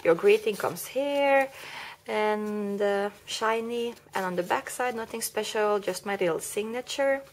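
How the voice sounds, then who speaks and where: A woman's voice: medium pitch, a little slow. A woman with a cold and a slightly rusty voice, chatting through a card-making tutorial step by step and correcting herself along the way. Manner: chatty, explains step by step with small self-corrections. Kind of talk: casual craft tutorial. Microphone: camera mic over a craft table, hands only.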